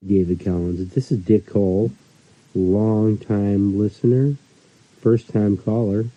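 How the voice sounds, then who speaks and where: A nervous man calling in and leaving a halting, rambling voicemail. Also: on the low side, steady tone, warm tone, dry recording. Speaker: caller. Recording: tinny phone-line voicemail.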